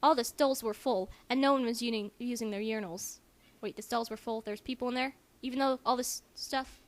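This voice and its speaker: High-pitched young woman reading aloud with asides. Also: steady tone, a little fast. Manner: giggly, reluctant, interjecting asides. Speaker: amateur reader. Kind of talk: dramatic reading with casual commentary. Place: room in a house. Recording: noisy home recording.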